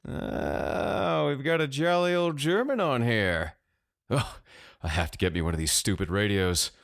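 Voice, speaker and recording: A man's voice: deep voice, fairly even intonation, fairly quick, fairly bright tone. A man plays a young American prankster in an audiobook story. He talks casually, swears freely and teases with open, mischievous amusement. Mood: delighted.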